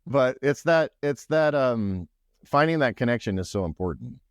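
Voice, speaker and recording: A man hosting a casual podcast conversation, with a low, deep, loud voice and a talkative, go-getter manner. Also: expressive, normal speed, no echo, clean studio sound. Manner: talkative, go-getter energy, frequent restarts. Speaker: podcast host.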